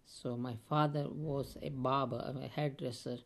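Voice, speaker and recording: A man, recalling his life story as an oral history interviewee, speaking haltingly with many pauses and fillers. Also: medium pitch, little pitch movement. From India. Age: 50s.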